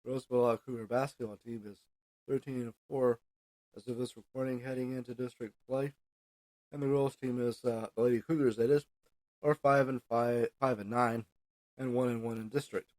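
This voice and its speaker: A man's voice: medium pitch, steady tone, a little mellow, dry recording, rough recording. American man hosting a podcast, dry close sound. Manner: halting delivery with frequent hesitations and self-corrections. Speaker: podcast host. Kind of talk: informal solo podcast monologue with news and sports recap.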